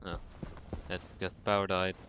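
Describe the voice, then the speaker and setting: A man giving casual gameplay tutorial commentary, calmly talking through each step as he plays. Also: low voice, normal speed. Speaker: gaming tutorial creator. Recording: clear background noise under voice.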